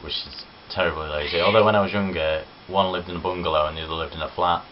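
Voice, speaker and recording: A man's voice: low voice, steady tone, fast. A young man from Nottinghamshire with a Midlands accent, chatting casually and self-deprecatingly in a home video vlog. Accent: English Midlands (Nottinghamshire). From Nottinghamshire, England. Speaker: vlogger. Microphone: camera on tripod. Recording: dull lo-fi webcam audio with faint hiss.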